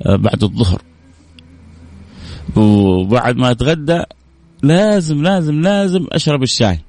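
A man's voice: mid-range voice, expressive, moderate pace, darkish tone, voice pushed up, natural voice. A man voicing an easygoing male folk singer who explains his daily routine insistently. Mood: matter-of-fact. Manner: matter-of-fact, insistent.

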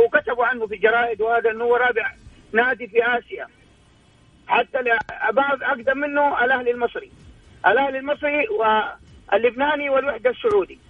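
High, husky Hijazi man on tinny phone. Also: dry recording. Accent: Hijazi Saudi. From Mecca, Saudi Arabia. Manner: rambling, repeats himself. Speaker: phone-in caller. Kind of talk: heated casual fan chat. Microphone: telephone. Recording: tinny narrow phone line.

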